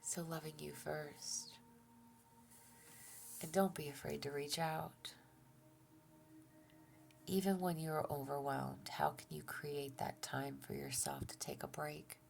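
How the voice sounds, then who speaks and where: A woman giving a gentle tarot reading, soft-spoken and reassuring, who often drops to a near-whisper and talks through a stuffy nose. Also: sits low, animated, slow, gravelly, long pauses, slight background noise. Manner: gentle and reassuring, with fillers and restarts. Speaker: tarot reader. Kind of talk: relaxed tarot reading to viewers.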